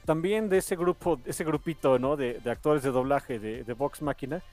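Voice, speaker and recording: A man's voice: medium pitch, moderate pace, darkish tone, faint music behind. A man with a Mexican accent hosting a podcast, chatting casually in an enthusiastic, rambling way. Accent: Mexican Spanish. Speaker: podcast host.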